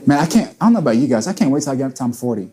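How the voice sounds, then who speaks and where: A man's voice: mid-range voice, animated, rapid-fire, mellow. A man in his late twenties, a church planter and pastor, talking earnestly in a casual panel chat and repeating words as he thinks. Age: 20s.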